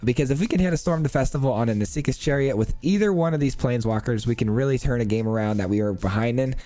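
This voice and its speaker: A man with a hoarse voice from illness, casually breaking down a card game deck for his channel viewers in an upbeat, chatty way. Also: mid-range voice, fast, dark tone. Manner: upbeat, thankful, chatty with fillers. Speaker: card game video host.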